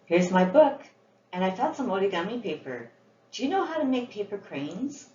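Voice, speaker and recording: A woman voicing a gentle, polite and eager little girl from a children's storybook. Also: mid-range voice, very animated, normal speed, lightly acted. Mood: friendly, eager. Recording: roomy, muffled, with faint background noise.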